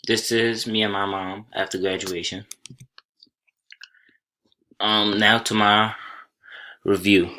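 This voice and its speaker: A young college student from Senegal, raised speaking Soninke, walks his class through a slide presentation. His voice sits low and holds a bright, forward edge. He talks plainly and without hurry, and now and then he starts a phrase over. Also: rough recording. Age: young adult. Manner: plain, unhurried, with small restarts.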